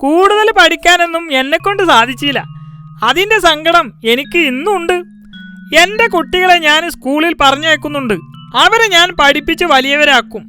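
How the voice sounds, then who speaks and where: A woman's voice: very high-pitched, animated, normal speed, sharp tone, heavily acted. A woman voicing a proud male shopkeeper and father in a cartoon, who boasts, lectures and scolds. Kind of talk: cartoon dialogue. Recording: music behind the voice.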